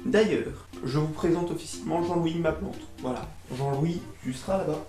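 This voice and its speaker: A man's voice: fairly high pitch, normal speed. A young man doing a casual, comic rant to camera as a YouTuber, exasperated and theatrical, with slangy swearing. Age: young adult. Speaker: YouTuber, host of the video. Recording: roomy echo, music underneath.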